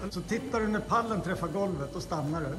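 A man's voice: sits high, expressive, big-room sound, music in the background. A man hosting a casual vlog from his car workshop, upbeat and encouraging as he coaches and chats. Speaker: vlog host, garage owner. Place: large car workshop, indoors.

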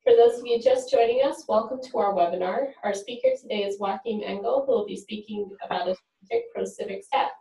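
A woman welcomes attendees and introduces the speaker at the start of a webinar in an upbeat, scripted way. Her voice is at a middle pitch and sounds distant and echoey, as if she sits back from a computer mic. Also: brisk, gravelly, mellow. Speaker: webinar host.

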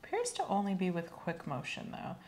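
A young woman speaking softly in a gentle, measured, instructional way as a medical examiner in an ASMR roleplay. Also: lowish voice, wildly swooping pitch, slight background noise. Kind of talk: soft-spoken ASMR clinical roleplay. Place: small room set up as an exam office.

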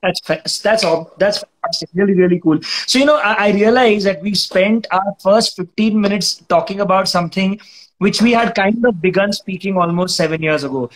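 A man, hosting a video call interview with encouraging, quick affirmations. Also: high voice, rough recording. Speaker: show host.

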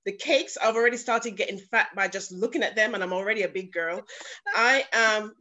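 A woman's voice: medium pitch, brisk, bright, forceful tone, highs cut off. A woman speaking on a video call, effusive and emotional as she encourages the other women. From not Nigeria. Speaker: attendee. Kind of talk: casual online meeting remarks.

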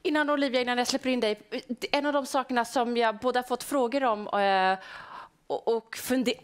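A woman's voice: fairly high pitch, steady tone, clear, resonant tone. A woman moderating a live panel on a conference stage through a headset microphone.

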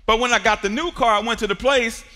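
A man in his forties preaching a sermon on a large church stage, building to shouts and calling on the congregation to answer. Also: extremely high pitch, fast talker. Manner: preaching with rising shouts and call-and-response. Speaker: pastor. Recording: long hall reverb.

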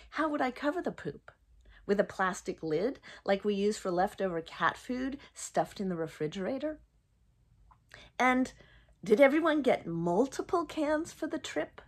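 Slow-paced woman reading her memoir. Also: fairly high pitch, a bit bright. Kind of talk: expressive first-person storytelling, read aloud. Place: small indoor room, plain wall.

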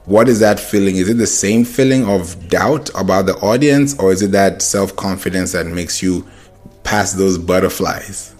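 Casting note: deep-voiced male host, encouraging, faint music behind. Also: moderate pace, darkish tone. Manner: encouraging, affirming. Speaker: podcast host. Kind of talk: podcast interview.

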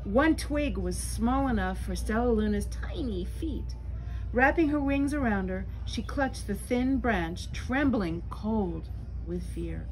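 Animated older woman reading a children's story aloud. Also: on the high side, rough recording. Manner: slow, dramatic, deliberate phrasing. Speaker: storyteller reading a picture book. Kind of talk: expressive read-aloud for children with asides. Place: small bookstore, indoors. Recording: clear background room noise.

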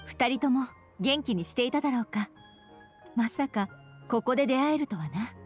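A woman's voice: fairly high pitch, animated, moderate pace, soft background music, natural voice. A woman voicing a dutiful young female knight commander in an anime game, formal, composed and polite. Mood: earnest. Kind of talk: Japanese anime game voice acting.

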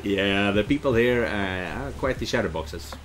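A man's voice: lowish voice, lively intonation, moderate pace. A middle-aged man playing a game on stream, reading lines aloud and commenting on them. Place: indoors at a desk, headset on. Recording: music behind the voice.

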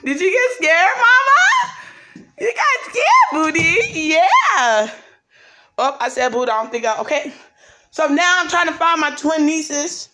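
A woman's voice: extremely high pitch, wildly swooping pitch, slow, pushed and bright. A young woman, playfully filming a hide-and-seek game on a handheld phone as she walks through an empty house. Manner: playful, teasing, sing-song. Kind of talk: casual handheld vlog. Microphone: phone held in hand while walking.

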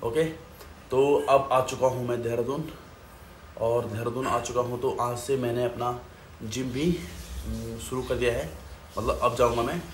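A man's voice: mid-range voice, flat delivery, measured pace, pauses often, a little hiss or hum. A man in his thirties talking casually to his phone camera in a fitness vlog, explaining his routine step by step and slipping English phrases into his talk. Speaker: fitness vlogger. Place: small room at home, then a gym. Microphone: phone camera at arm's length. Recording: echoey room with music behind.

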